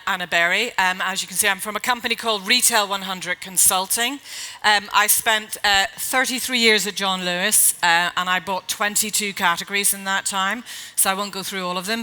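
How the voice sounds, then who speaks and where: A middle-aged woman consultant giving a chatty conference talk in a loud, projecting voice, with frequent fillers and self-corrections. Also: medium pitch. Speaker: retail consultant speaker. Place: event hall.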